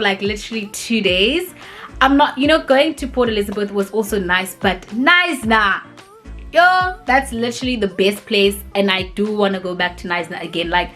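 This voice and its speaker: A woman, chatting casually and rambling as she answers viewer questions, with lots of fillers. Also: medium pitch. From grew up in Cape Town, South Africa. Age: 20s. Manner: rambling and animated, many "like" and "you know" fillers.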